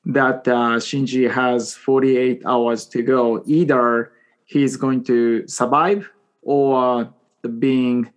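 A man in his forties from Japan with a Japanese accent, speaking English as a second language as a podcast guest, telling a personal story in a halting, self-deprecating, joking way. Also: mid-range voice, little pitch movement. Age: 40s. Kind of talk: casual personal storytelling in an interview.

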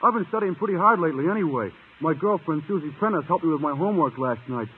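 An actor playing a dim-witted, good-natured, guileless teenage student with a husky voice in a 1950s radio comedy. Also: male voice, a little high, lively intonation. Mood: cheerful, guileless. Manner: slow-witted, guileless, garbled grammar. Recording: tinny, band-limited old radio recording.

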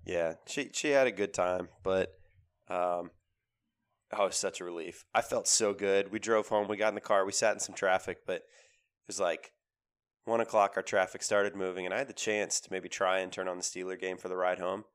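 Low-voiced man with a cold. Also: normal speed, smooth. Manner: animated, emphatic with repeated phrases. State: has a cold, voice lower than usual. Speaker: podcast co-host. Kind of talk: casual sports chat.

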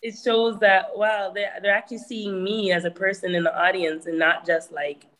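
A woman speaking casually as a musician sharing practical tips. She talks in a loose, chatty way, filling her sentences with "you know" and "like". Her pitch sits in an ordinary middle range. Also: flat delivery, normal speed, a bit bright, poor audio. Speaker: musician giving advice. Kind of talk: casual advice talk.

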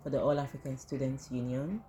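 A woman with an unusually deep, warm voice speaks fluently and earnestly. Faint ambient noise hums behind her. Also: steady tone, a little fast. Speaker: student union officer. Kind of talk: interview answers.

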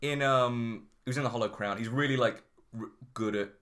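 A man's voice: medium pitch, brisk. A man from Greater Manchester co-hosting a podcast, chatting casually and enthusiastically. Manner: enthusiastic, lively. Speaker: podcast co-host.

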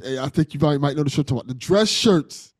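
A man's voice: on the high side, expressive, very fast, no room sound, studio quality. A man hosting a casual interview, talking in an informal, slangy, buddy-like way. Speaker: podcast host.

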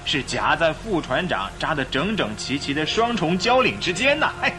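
A man's voice: fairly high pitch, big pitch swings, normal speed, sharp tone. An actor playing a jovial, gossipy male ship passenger, telling things with relish and exaggeration in a Chinese radio drama. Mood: amused, gossipy. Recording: radio drama with music behind.

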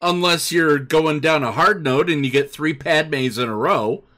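A man's voice: a little high, measured pace, pushed and bright. A man hosting a casual gaming discussion, steering the conversation as he goes. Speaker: podcast host. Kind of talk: casual hosted discussion with listener shout-outs.

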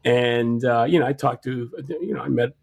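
A man's voice: medium pitch, normal speed, vocal fry. A man co-hosting a casual podcast from home, talking in a rambling way with frequent restarts and fillers. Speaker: podcast co-host.

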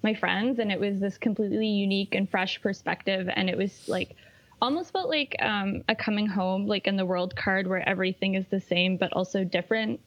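A woman tarot reader as a podcast guest, speaking softly and earnestly, with "like" used often. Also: mid-range voice, fairly even intonation, fairly quick, highs cut off. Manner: soft, earnest, heavy use of "like". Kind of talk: casual interview conversation.

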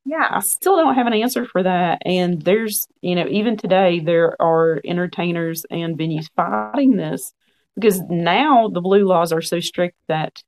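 Guest storyteller: man, 40s, gravelly fry, frank and earnest, chatty. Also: high-pitched, unhurried. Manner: frank, earnest, quotes own past thoughts. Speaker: podcast guest. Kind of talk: conversational storytelling.

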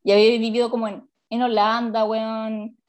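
A woman's voice: a little high, normal speed. A woman in her twenties with a Chilean accent, telling a story in a casual chat, full of slang and laughter. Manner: animated, slangy, laughs often.

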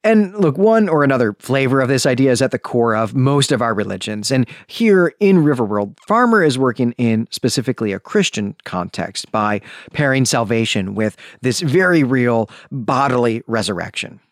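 A man's voice: mid-range voice, very animated, moderate pace, no echo, clean studio sound. A man hosting a podcast, talking through literary commentary like a conversational lecture, often rephrasing and qualifying his points. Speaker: podcast host.

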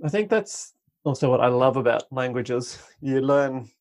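A man's voice: mid-range voice, animated, normal speed, a little mellow. A man chatting casually and enthusiastically, rambling a bit and laughing often. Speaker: conversation partner. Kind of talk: casual chat.

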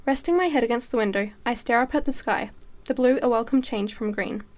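High-pitched teenage girl reading a story. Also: fairly quick, a little mellow. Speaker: student writer reading own story. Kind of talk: dramatic reading of a first-person story.

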